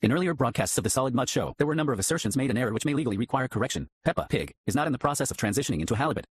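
A male announcer reads a comic legal disclaimer with a straight, formal delivery. He goes extremely fast in a husky, medium-pitched voice, recorded dry and close. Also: no echo. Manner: formal, deadpan. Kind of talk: deadpan scripted mock disclaimer.

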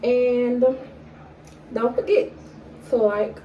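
Young woman, early 20s, slow easy vlog talk w/ lots of ums, mellow voice, echoey room a bit away from the cam. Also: a little high, level tone, far from the mic, lo-fi sound, a little hiss or hum. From Atlanta, Georgia. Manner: unhurried, with frequent fillers and pauses; stutters at times. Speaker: vlogger. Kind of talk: casual get-to-know-me chat to camera. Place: small indoor room at home. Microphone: camera in front at arm's length.

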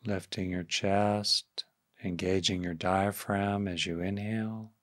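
A man leading a guided meditation as a teacher, speaking gently and softly in short phrases with long pauses. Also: sits low, level tone, no room sound, clean studio sound.

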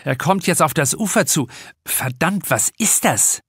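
A man's voice: fairly high pitch, animated, unhurried, a bit bright, husky, no room sound, clean studio sound, pitched above the performer's own voice, exaggerated voice. A man voicing an urgent, blunt young male lifeguard in an audiobook. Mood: alarmed. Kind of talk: audiobook character dialogue.

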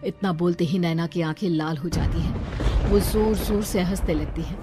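A woman giving scripted narration for an animated horror story, with music underneath. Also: lowish voice, normal speed.

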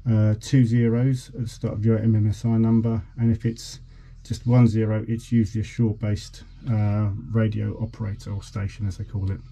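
A man's voice: lowish voice, level tone, moderate pace, hardly any pauses, dark tone. A man in his forties giving an informal, slightly hesitant explanation as he demonstrates equipment in a vlog. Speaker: vlogger. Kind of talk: informal demonstration of a boat radio. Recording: clearly noisy background.

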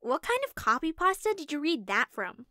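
A woman's voice: high-pitched, sing-song, fairly quick, sharp tone, no room sound, studio quality, heavily acted. A woman voicing a sardonic, incredulous teenage schoolgirl in a comic dub cartoon, with a snarky manner.

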